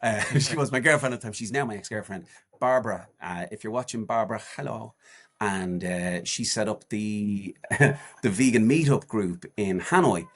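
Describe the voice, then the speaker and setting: Low-voiced rambling man in his forties. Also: normal speed, a bit bright. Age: 40s. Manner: rambling, with frequent restarts and fillers. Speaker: guest, charity walker. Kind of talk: casual podcast chat.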